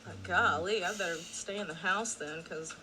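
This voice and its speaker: A woman from Tennessee telling an anecdote in a documentary interview, animated and exasperated. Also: on the low side, moderate pace, crowd noise. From Tennessee, USA. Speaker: case supporter interviewed in a documentary.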